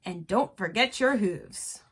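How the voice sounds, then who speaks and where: A woman's voice: mid-range voice, lively intonation, slow pace, fairly bright tone, husky. A woman art teacher talking young children through a step-by-step drawing tutorial in simple, encouraging phrases. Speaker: elementary school art teacher.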